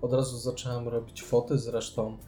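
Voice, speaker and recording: Male podcast co-host, mid-pitched and dark, relaxed tone, casual chat, faint music behind. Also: moderate pace. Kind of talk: casual board game discussion.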